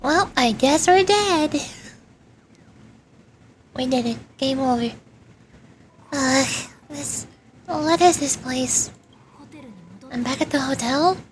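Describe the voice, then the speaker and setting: A woman doing casual livestream commentary as a game streamer, expressive and playfully dramatic. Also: high voice, slow, long pauses, a little hiss or hum.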